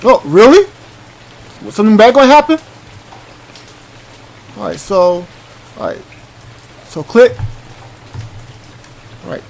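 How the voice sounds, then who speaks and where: A man hosting a gaming video, thinking aloud in an informal, halting way as he comments and reads from the screen. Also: high voice, sing-song, creaky, a little hiss or hum, rough recording. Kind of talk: casual let's play commentary, reading on-screen text aloud.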